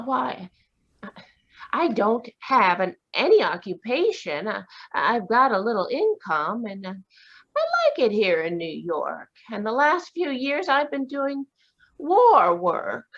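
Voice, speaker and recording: A woman voicing a brisk, independent, sharp-tongued spinster in a live radio drama, with spirited, indignant retorts. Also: fairly high pitch, sing-song, gravelly, slightly rough, heavily acted. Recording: dull lo-fi video call audio.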